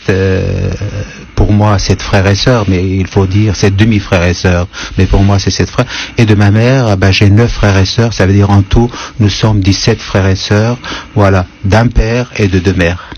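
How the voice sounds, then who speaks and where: A man in his sixties from northeast Syria, a native Kurdish speaker, being interviewed on the radio and answering slowly with frequent hesitations. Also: on the low side, darkish tone. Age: 60s. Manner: thoughtful, hesitant with many pauses. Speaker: guest writer. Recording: dull radio broadcast, faint noise.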